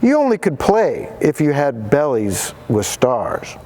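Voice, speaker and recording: A man's voice: medium pitch, a little slow. A male teacher giving a dramatic read-aloud of a picture book to students. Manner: animated, dramatic reading voice.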